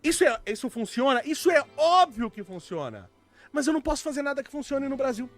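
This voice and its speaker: A man's voice: very high-pitched, very animated, fairly quick. A man in casual podcast chat, explaining his views bluntly and argumentatively. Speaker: podcast co-host.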